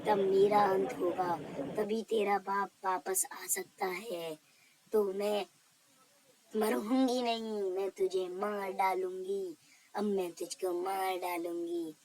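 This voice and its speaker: A woman's voice: medium pitch, fairly even intonation, darkish tone, natural voice, low-quality recording. Woman voicing a menacing, cackling man-eating ghost woman. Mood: taunting threat. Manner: taunting, threatening, drawn-out cackles.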